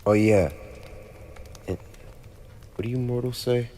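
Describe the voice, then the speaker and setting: A man's voice: medium pitch, slow pace, dark tone, natural voice. A man playing a smug, teasing, playfully menacing teenage demon prince in an ASMR roleplay, talking straight to the listener in a conversational, mock-formal way. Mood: pleased, generous. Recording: echoey reverberant room with clear background noise.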